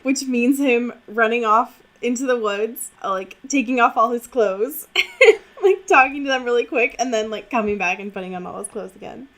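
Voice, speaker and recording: A woman co-hosting a podcast, casually recapping a book in a sarcastic, giggly, expressive way. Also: a little high, normal speed. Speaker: podcast co-host.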